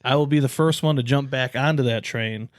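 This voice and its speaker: A man's voice: medium pitch, brisk, no echo, clean studio sound. A man co-hosting a podcast, venting in a profane, frustrated rant during casual sports chat. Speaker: podcast co-host.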